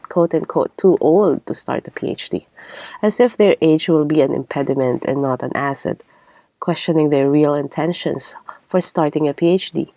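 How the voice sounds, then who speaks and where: A woman in her thirties hosting a podcast, delivering a reflective scripted monologue in a measured, articulate way. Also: low-pitched, dark tone, no echo. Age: 30s. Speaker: podcast host.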